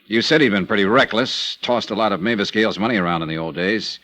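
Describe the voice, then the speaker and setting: An actor playing a hard-boiled insurance investigator in a vintage radio drama, wry, terse and probing. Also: male voice, on the low side, fast talker, pushed and bright. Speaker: narrator and investigator. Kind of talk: 1950s radio drama acting.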